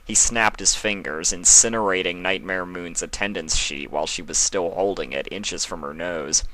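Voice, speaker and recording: Low, bright-voiced male story narrator. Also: normal speed, rough recording.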